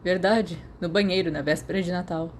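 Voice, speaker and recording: A woman's voice: on the low side, fairly even intonation, fairly quick, close to an everyday voice. Woman reading as a moody Brazilian teenage boy, noisy. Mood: confessing. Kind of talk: acted storybook dialogue.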